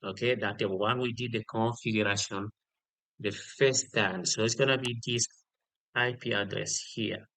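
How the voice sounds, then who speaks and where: A man giving an unscripted step-by-step software tutorial, repeating key details and often checking in with a short okay. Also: lowish voice, steady tone, moderate pace, no room sound. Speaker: tutorial instructor.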